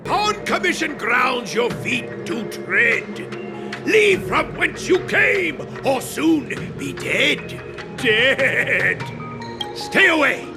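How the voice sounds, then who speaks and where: A man's voice: extremely high pitch, big pitch swings, very slow, creaky, over music, exaggerated voice. A man voicing a fiercely protective, threatening guardian in a video game, with declamatory, archaic speech. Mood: menacing. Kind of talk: video game cutscene acting.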